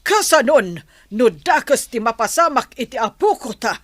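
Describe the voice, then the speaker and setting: An actress playing a concerned, indignant grandmother-to-be in a radio drama, expressive and exclaiming. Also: a little high, moderate pace, no room sound, clean studio sound.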